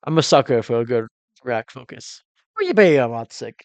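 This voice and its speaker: A man's voice: medium pitch, very animated, moderate pace, no echo. A man giving casual, exclamatory reaction commentary as a cinematographer and host, thinking aloud informally while explaining technique.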